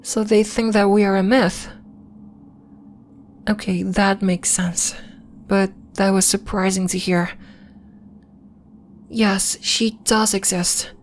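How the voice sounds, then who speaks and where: A woman playing a gentle, earnest alien temple healer in an ASMR roleplay, speaking in a measured, formal, reassuring way. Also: medium pitch, steady tone, slight background noise, natural voice. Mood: calm, reflective. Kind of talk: slow, soft ASMR roleplay acting.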